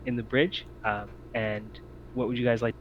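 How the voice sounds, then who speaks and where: A man's voice: mid-range voice, little pitch movement, moderate pace. An easygoing man narrating a tabletop roleplaying game as game master in a casual, conversational way. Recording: slightly dull audio, faint background noise.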